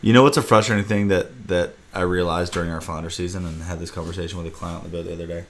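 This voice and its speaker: A young man, hosting a casual podcast as a fishing guide, talking in a relaxed, rambling way over a video call from an indoor room. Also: low-pitched, rapid-fire. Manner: relaxed, rambling, talks through restarts.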